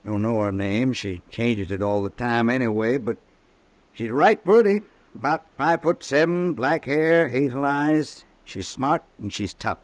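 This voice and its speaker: A man playing a plain-spoken, talkative visiting sheriff in an old-time radio western, with a brisk, folksy manner. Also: mid-range voice, dry recording, natural voice. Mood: matter-of-fact, admiring. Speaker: radio drama actor. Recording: vintage radio drama recording.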